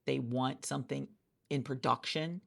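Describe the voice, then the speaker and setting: A woman doing a soft-spoken ASMR roleplay as a hiring manager, chatty and rambling with many restarts, recorded in a friend's office. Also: extremely low pitch, fairly even intonation, normal speed, vocal fry.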